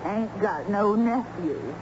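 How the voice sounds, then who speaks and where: An actress playing a blunt, suspicious working-class housewife in an old-time radio drama, curt and ungrammatical. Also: female voice, mid-range voice, lively intonation, slow pace. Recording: muffled, noisy old radio broadcast.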